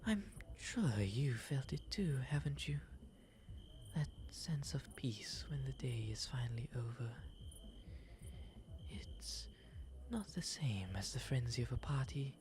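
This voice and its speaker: Refined owl demon gentleman, played by a man, intimate ASMR roleplay: soft breathy delivery, unhurried and eloquent, noticeable background noise. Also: medium pitch, lively intonation. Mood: calm, reflective. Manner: genteel, eloquent, unhurried phrasing.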